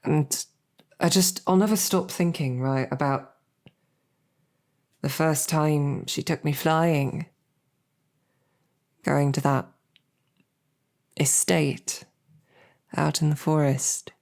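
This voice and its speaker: Woman acting a low-voiced knight, smitten and halting. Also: fairly even intonation, darkish tone. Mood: smitten, awkward. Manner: halting, self-deprecating, colloquial tag questions. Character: smitten lowborn female royal guard, snarky, awkward, earnest knight. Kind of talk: scripted audio roleplay, halting heartfelt banquet speech.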